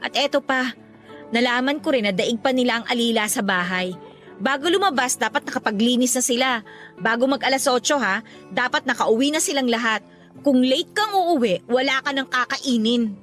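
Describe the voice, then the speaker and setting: A woman's voice: on the high side, moderate pace, a bit bright, faint music behind, natural voice. A woman playing a troubled, indignant young working woman in a Tagalog radio drama, talking in an emotional, aggrieved way.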